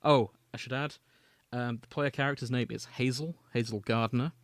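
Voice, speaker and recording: A man's voice: medium pitch, fairly even intonation, moderate pace, no echo, clean studio sound. A man co-hosting a podcast, chatting casually about fanfiction and reading passages aloud. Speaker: podcast co-host.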